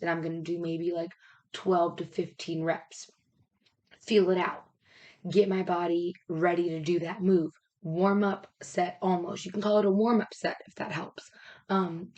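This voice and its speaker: A woman with a raspy voice that is giving out, talking casually as a fitness coach and explaining step by step in an informal, rambling way. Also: fairly low pitch, darkish tone. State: raspy, losing her voice.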